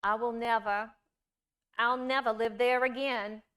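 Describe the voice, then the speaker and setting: A woman giving a scripted storytelling performance, with deliberate, measured phrasing and dramatic pauses. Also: on the high side, steady tone, a bit bright, smooth. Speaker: monologue performer.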